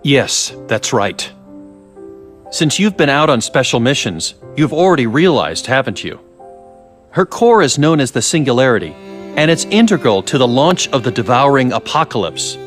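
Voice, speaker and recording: A man playing a curious, evasive doctor in a video game, musing and teasing with theatrical pauses. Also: medium pitch, big pitch swings, close to an everyday voice. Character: wry, curious, evasive male scientist doctor. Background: faint music.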